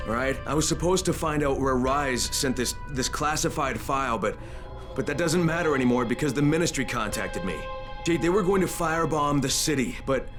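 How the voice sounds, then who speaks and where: A man's voice: medium pitch, lightly acted. Man acting as tough earnest agent guy from a video game, mid voice, bit of music behind. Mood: urgent pleading. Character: tough, earnest undercover agent searching for a missing woman. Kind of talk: video game cutscene dialogue.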